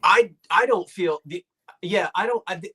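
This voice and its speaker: A man's voice: high-pitched, measured pace, sharp tone, breathy edge. A man from South Jersey with a South Jersey accent, hosting a live sale stream in casual, rambling chat. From South Jersey, Delaware Valley. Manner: rambling, restarts sentences often.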